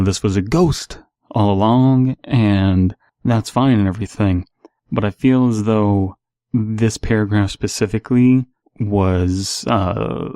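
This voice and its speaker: A man's voice: fairly low pitch, warm tone, dry recording, clean studio sound. A man reading stories aloud and giving casual, analytical commentary as the host.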